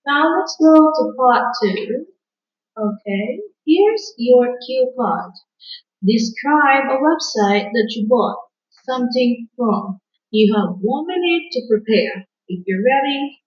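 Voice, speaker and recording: A woman working as a speaking test examiner, asking set questions in a formal, measured way. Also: on the high side, lively intonation. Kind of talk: scripted exam questions. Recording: distant, roomy, dull recording.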